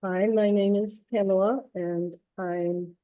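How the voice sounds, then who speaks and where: A woman's voice: mid-range voice, steady tone, takes it slowly, no room sound, phone-quality sound. A woman from upstate New York introducing herself, earnest and rambling, with restarts. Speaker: animal communicator. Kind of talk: casual self-introduction.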